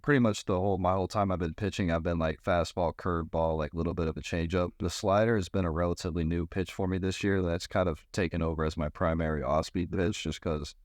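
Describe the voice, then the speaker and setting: A young man, a baseball pitcher, answering questions casually as a podcast guest. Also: steady tone, fast talker, few pauses, no room sound, studio quality. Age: young adult. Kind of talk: casual interview chat.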